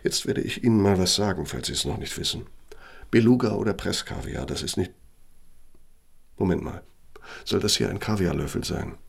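Gravelly, imperious older man. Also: low-pitched, animated, normal speed, pauses often, exaggerated voice. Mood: irritated, commanding. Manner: pompous, domineering, sardonic. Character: imperious, bitter older former Nazi functionary dictating a letter. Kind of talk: German radio play monologue, letter dictation.

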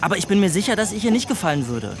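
A man's voice: high voice, sing-song, rapid-fire, a crowd in the background. A man playing a crude, frustrated, self-loathing teenage boy who rambles in whiny slang in a radio drama. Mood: confiding, insecure. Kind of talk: colloquial radio drama dialogue.

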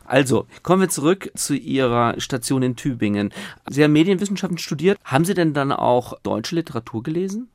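A man's voice: mid-range voice, animated, brisk. A man hosting a radio interview, putting questions in a conversational style.

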